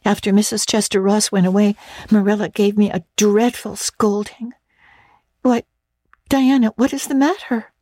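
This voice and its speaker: A woman's voice: medium pitch, steady tone, moderate pace, dark tone, dry recording, studio quality, voice pushed up, lightly acted. A woman voicing an imaginative, earnest girl who talks breathlessly and theatrically with stammering restarts. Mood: dramatic.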